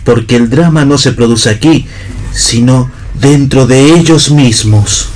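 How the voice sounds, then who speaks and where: An actor playing a humble, idealistic, hesitant vagabond in a theatrical radio reading. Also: male voice, medium pitch, measured pace, a little mellow, close to an everyday voice. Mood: earnest. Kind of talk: read-theatre stage acting on radio.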